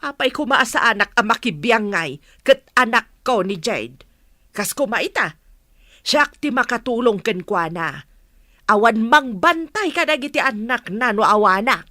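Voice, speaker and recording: A woman's voice: fairly high pitch, lively intonation, a little slow, pushed and bright, dry recording, clean studio sound. A woman playing an indignant, disapproving middle-aged Filipino mother in a radio serial, scolding and exasperated. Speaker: radio drama actor.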